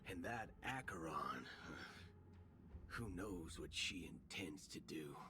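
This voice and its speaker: A man voicing a grave, wry adult in a video game, folksy, measured and serious. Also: deep voice, expressive, noisy background. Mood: grave warning. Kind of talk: dramatic video game voice acting.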